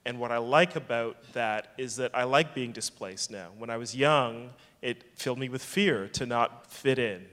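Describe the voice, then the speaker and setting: A man speaking at a public fireside chat, thoughtful and measured, who often restarts a phrase before he finishes it. His mid-pitched voice carries a long reverb from the hall around him. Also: a bit bright. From England. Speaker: film festival director.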